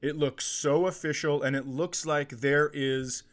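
A middle-aged American man in casual comedic conversation, telling stories in an animated way and acting out what others say. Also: fairly high pitch, unhurried. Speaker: comedy podcast host.

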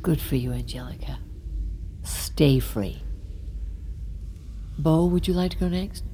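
Solemn woman guru type running a support circle, real low voice, takes her time, audio drama. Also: mellow, a little hiss or hum. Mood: gently firm. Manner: measured, solemn, deliberate. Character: solemn woman leading an anti-technology support gathering. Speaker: group leader. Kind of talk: audio drama acting, ceremonial address.

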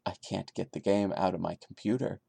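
A man voicing the horrified young male narrator of a creepypasta, reading it dramatically in a grave, deliberate way. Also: sits low, fairly even intonation, vocal fry, warm tone, slightly rough, dry recording, voice pushed down, exaggerated voice.

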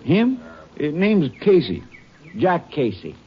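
An actor playing a big, blunt Texas sheriff with a folksy drawl and dry humour in a 1950s radio western. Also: male voice, on the high side, huge pitch swings, slow, full character voice. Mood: easygoing, thoughtful. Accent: Texan. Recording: muffled 1950s radio recording.

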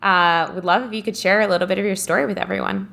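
A woman hosting an interview, giggly and effusive, with quick rushed asides. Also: medium pitch, steady tone, gravelly, fairly bright tone, a little hiss or hum. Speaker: podcast host.

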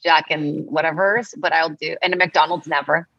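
A comedian woman chatting casually on a podcast, telling lively stories and acting out her family's voices. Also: low voice, normal speed, vocal fry, slightly rough, dry recording, muffled recording. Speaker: comedian guest.

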